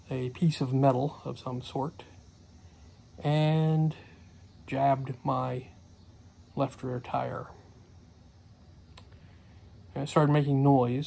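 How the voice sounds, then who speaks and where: A middle-aged man recaps his day in a slow, unhurried ramble full of "uh" and "um." His voice is gravelly and stays flat, with little rise or fall. A faint hiss sits under the phone recording. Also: medium pitch. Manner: rambling, many fillers. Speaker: homestead vlogger. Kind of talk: casual daily vlog recap. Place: small cluttered room indoors. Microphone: phone camera close to face.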